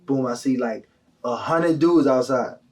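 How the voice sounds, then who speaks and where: A man's voice: medium pitch, unhurried, distant mic. A young man, a guest telling his story in casual slang full of fillers. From Delaware County, Pennsylvania. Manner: animated, heavy slang, lots of fillers.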